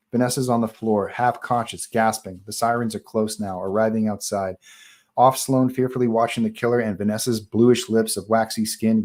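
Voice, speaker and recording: A man's voice: fairly low pitch, a little mellow. A man narrating the stage directions of a horror script at a live online table read. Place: online group call.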